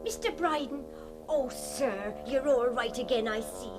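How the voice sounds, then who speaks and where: An actress playing a fussy, cheerful, deferential housekeeper in a literary radio drama. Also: female voice, high voice, unhurried, over music, rough recording. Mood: relieved, solicitous.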